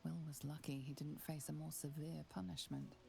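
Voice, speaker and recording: A woman playing a grave, hushed narrator in a fantasy video game. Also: sits low, flat delivery, normal speed, vocal fry, soft background music. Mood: grave, measured.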